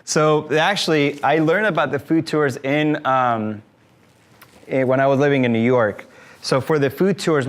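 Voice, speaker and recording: A man in his thirties from Mexico talks to a room full of listeners. His voice sits in a medium range. He explains things in a friendly way, with plenty of "um"s along the way. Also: medium pitch. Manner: friendly, explanatory, frequent fillers. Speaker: guest speaker, tour company owner. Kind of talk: conversational talk to a live audience. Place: event room with an audience.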